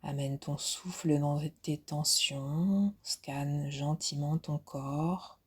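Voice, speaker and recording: A woman instructor leading a guided relaxation meditation in a soft, soothing, unhurried way with pauses, with music behind her. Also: low-pitched, fairly even intonation, breathy edge. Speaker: yoga nidra instructor.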